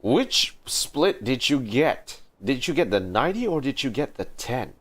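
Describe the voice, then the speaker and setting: A man's voice: medium pitch, very animated, unhurried, fairly bright tone. A man giving casual, amused, exclamatory commentary as he streams a video game. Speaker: live streamer. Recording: game music behind the voice.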